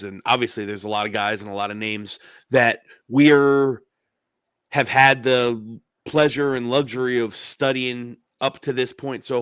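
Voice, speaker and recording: A man co-hosting a casual sports podcast, a little hoarse from yelling, rambling with lots of fillers and restarts. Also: mid-range voice, no echo. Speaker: podcast co-host.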